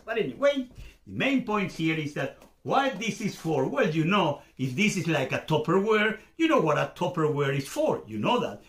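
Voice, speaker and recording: A man's voice: fairly high pitch, very animated, moderate pace. A middle-aged Venezuelan man whose first language is Spanish, speaking English with a Spanish accent as a YouTube host, hesitant with many fillers and restarts, at a desk in a room at home. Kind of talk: casual explainer to camera. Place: home room with shelves, indoors. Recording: roomy echo.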